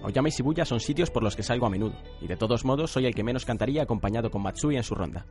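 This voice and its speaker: A man's voice: medium pitch, animated, racing, a little mellow, faint music behind, lightly acted. An actor playing a cool, calculating male student in a radio drama, politely controlling. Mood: reassuring.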